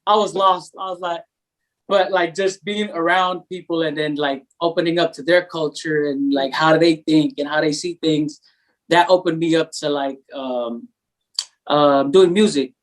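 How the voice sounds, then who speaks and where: A man's voice: fairly high pitch, steady tone, moderate pace, poor audio. A man, a podcast guest, telling his story in a laid-back way with many fillers. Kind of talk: casual interview chat.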